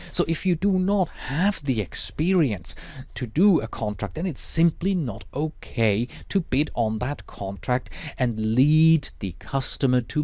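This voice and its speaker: A man whose first language is Swiss German, teaching a lecture in a measured, explanatory way with Swiss German accent. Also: a little high, mellow, no echo. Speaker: project management instructor.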